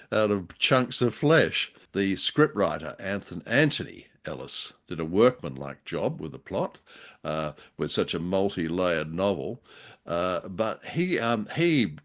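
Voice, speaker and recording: A man's voice: fairly low pitch, a bit bright. A man co-hosting a podcast in casual chat, jovial and rambling, with hesitant phrasing and playful mock-dramatic asides. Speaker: podcast co-host.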